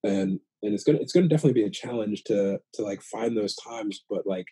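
American man, late thirties, low-pitched, husky, reflective, halting, casual heartfelt chat. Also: dark tone, no room sound. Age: late 30s. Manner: reflective, halting, repeats phrases as he thinks. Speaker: podcast guest, new father.

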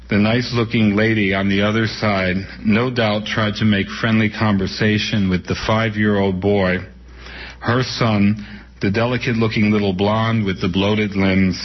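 A man in his sixties from New York City, unhurried and reminiscing as he recounts his childhood and reads from his own book, pausing often. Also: lowish voice, slightly rough. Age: 60s. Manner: unhurried, reminiscing, with frequent pauses and fillers. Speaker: author and guest. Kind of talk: reflective storytelling and reading from his book. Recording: dull radio broadcast sound.

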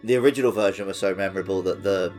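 A man's voice: sits low, very fast, over music. A man co-hosting a podcast, talking casually through a video game. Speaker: podcast co-host.

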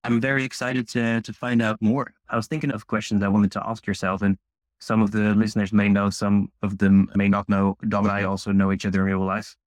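Measured, friendly male host. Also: lowish voice, flat delivery, no room sound. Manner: measured, slightly hesitant, friendly. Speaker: podcast host. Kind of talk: casual hosting of an audio space.

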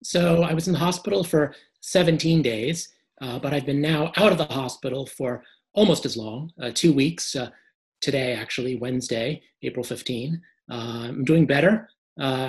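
Male interview guest; hoarse and a little short of breath, measured answers with many fillers. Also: medium pitch.

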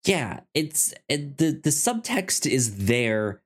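Earnest, halting man chatting on a podcast. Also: medium pitch, sing-song. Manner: halting, repeats words, earnest and enthusiastic. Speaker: podcast co-host. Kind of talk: casual discussion of a TV series.

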